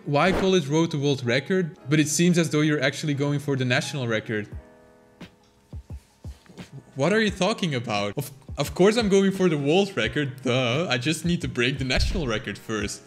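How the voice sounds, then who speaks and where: Chatty young man, quick delivery. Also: fairly high pitch, expressive, soft background music. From Belgium. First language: Flemish. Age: young adult. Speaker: channel host. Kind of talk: casual Q&A answering viewer questions. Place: small home room, indoors. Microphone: fixed camera, seated at desk.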